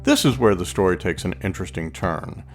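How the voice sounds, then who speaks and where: A man hosting a podcast, reading scripted narration in a measured, admiring way. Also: low-pitched, animated, soft background music. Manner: warm, admiring, measured delivery. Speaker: podcast host.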